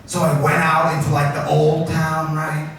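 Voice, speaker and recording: A man's voice: on the high side, normal speed. Echoey male stand-up host. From Turkey. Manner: animated, addresses the audience directly, tags sentences with "okay?". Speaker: stand-up comedian hosting a science slam. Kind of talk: stand-up comedy, crowd work. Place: indoor event venue with live audience. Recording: echoey, noisy live venue, far from mic.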